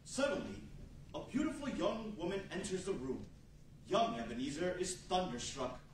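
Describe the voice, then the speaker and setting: A man's voice: high-pitched, expressive, normal speed. A man reading dramatic scripted narration as the narrator of a radio play. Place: large meeting room with seated audience, far camera. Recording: very echoey hall, distant microphone, faint noise.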